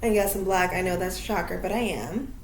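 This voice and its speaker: A woman's voice: fairly low pitch, a little fast, slight background noise. A woman from Minnesota giving a blunt, opinionated vlog rant, swearing casually. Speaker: vlogger. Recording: roomy echo.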